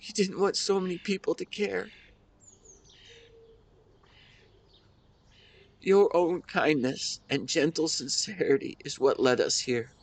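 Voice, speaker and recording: A somewhat higher-pitched male voice carries a grieving husband as a man acts him out in an intimate audio drama. He speaks tenderly to the wife he lost, halting with emotion and full of devotion. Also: steady tone, creaky. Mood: gentle teasing. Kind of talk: intimate audio roleplay monologue.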